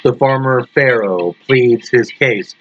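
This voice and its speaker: A man reading a scripted horror story aloud in a deliberate, dramatic manner. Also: medium pitch. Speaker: story reader. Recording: muffled lo-fi with loud background noise.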